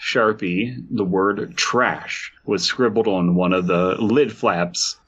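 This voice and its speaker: A man co-hosting a comedy podcast, reading a story aloud in a halting way between crude jokes. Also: lowish voice, a bit bright, husky, dull audio. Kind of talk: casual comedic reading with riffing.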